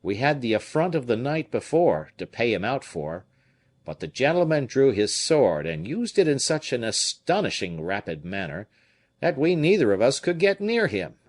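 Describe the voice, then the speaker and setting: A man voicing an excitable Provençal fisherman with a Provençal accent, telling his tale loudly and emphatically. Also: mid-range voice, normal speed, voice pushed up. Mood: agitated. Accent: Provençal French, as acted. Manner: loud, emphatic, indignant storytelling.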